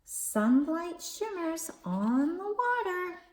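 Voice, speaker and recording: A woman hosting a children's storytime, reading aloud and leading counting rhymes in a slow, expressive, sing-song way. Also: very high voice. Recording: echoey room with faint background hiss.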